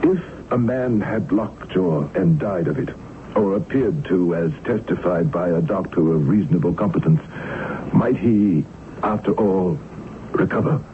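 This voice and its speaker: An actor playing a desperate, haunted sea captain in an old-time radio drama, with an anguished, halting, theatrical delivery. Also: male voice, low voice. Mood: urgent questioning. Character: haunted, desperate male sea captain fearing a supernatural pursuer. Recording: noisy, muffled vintage radio recording.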